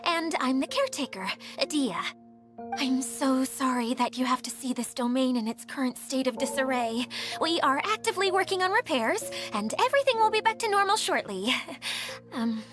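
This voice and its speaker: A woman's voice: high-pitched, lively intonation, moderate pace, over music. A woman voicing an anxious, polite young female caretaker in a video game, speaking formally and hesitantly. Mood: polite, eager.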